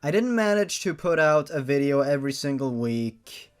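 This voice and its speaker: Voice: mid-range voice, animated, normal speed. A host giving casual comedic reaction commentary on amateur fiction, melodramatic and exasperated, swearing freely. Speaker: host of a fan fiction reading series.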